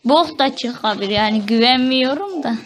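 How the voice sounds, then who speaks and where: A woman's voice: high-pitched, lively intonation, moderate pace. A woman chatting casually and off the cuff. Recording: loud background noise.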